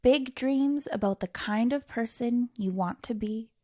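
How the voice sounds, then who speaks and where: A woman with a fairly high voice reads a picture book aloud to young children. She is theatrical and unhurried, stretching key words and pausing for drama. The recording is close and dry. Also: dark tone, no echo. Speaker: storytime reader.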